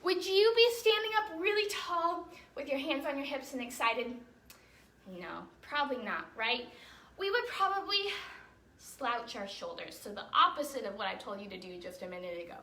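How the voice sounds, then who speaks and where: A woman with a high, very lively voice leads a children's dance lesson. She is encouraging and explains each thing simply, often checking in with her young pupils. The sound is roomy and echoing, picked up at a distance from the mic. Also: moderate pace. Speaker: children's ballet teacher and storytime host. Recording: roomy echo, distant mic, faint noise.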